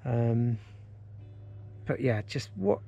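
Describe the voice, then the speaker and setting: A man's voice: medium pitch, drawn-out words, slightly rough. A man in his forties on a river in a kayak, talking casually and with enthusiasm to a camera mounted on the boat, with plenty of ums and uhs. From England. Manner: enthusiastic, relaxed, with many fillers. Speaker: outdoors vlogger. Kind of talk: casual vlog narration. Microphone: action camera mounted on the kayak.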